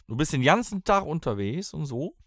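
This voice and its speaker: A man's voice: fairly high pitch, big pitch swings, brisk, a bit bright, no room sound. A man co-hosting a podcast in casual, blunt, colloquial talk, close to a studio microphone. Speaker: podcast co-host and band musician.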